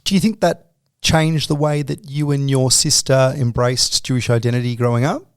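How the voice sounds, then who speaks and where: A man hosting an interview podcast and asking gentle, probing questions. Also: medium pitch, fairly even intonation, moderate pace, smooth, no echo, clean studio sound. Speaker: podcast host.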